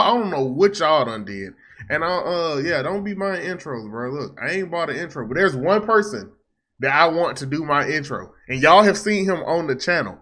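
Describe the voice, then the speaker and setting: Bright-voiced young man, slangy reaction commentary. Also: a little high. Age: young adult. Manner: animated, slangy, teasing. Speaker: reaction video host. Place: small home room. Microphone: close desk microphone.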